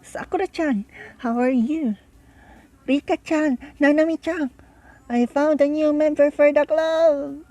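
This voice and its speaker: A woman voicing a cheerful, bubbly high school club girl from a visual novel, with eager greetings. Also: fairly even intonation, a little slow, warm tone, dry recording.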